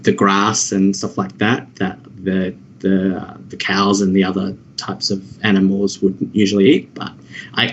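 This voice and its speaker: A man's voice: low-pitched, a little hiss or hum. An Australian man answering questions in casual conversation, rambling and hesitant with many fillers and restarts. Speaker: interviewee. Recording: dull lo-fi audio.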